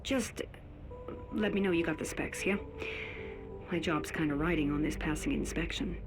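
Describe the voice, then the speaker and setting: An insistent chief engineer leaves a professional message in a video game. Her voice is on the low side, brisk and emphatic, with clear music behind. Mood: insistent, nervous.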